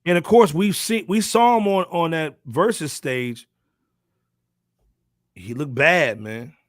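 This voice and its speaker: A man's voice: on the high side, slow, no room sound, studio quality. A man hosting a podcast in casual hip hop discussion, with a slangy, conversational delivery peppered with asides. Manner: slangy, animated asides, frequently says "man". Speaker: podcast host.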